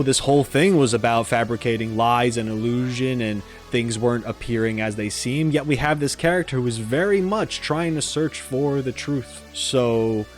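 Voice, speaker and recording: A man gives casual gaming reaction commentary in a mid-pitched voice. He rambles along in a chatty, self-deprecating way, with faint music behind him. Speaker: reaction video host.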